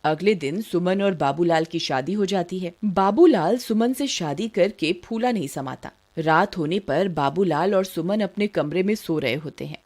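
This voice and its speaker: A woman's voice: fairly low pitch, a little mellow. A woman giving scripted narration of a story as its narrator.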